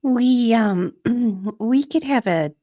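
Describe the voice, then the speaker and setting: Woman acting a flustered, higher-voiced young woman. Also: very slow, dark tone, dry recording, studio quality. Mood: nervous, hesitant. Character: flustered young woman, hesitant, nervously tempted.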